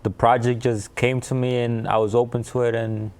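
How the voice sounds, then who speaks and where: A man's voice: medium pitch, little pitch movement, moderate pace, darkish tone, clear, resonant tone. A young man in his twenties from El Salvador, an actor and a guest in a TV studio interview, giving short, shy answers. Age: 20s.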